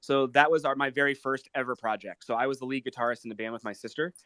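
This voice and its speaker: A man with an American accent chatting casually as a musician guest, in an earnest, halting, appreciative way. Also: mid-range voice, steady tone, sharp tone, no echo. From Ohio, United States. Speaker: guest musician, guitarist and pop artist. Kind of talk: casual live interview chat.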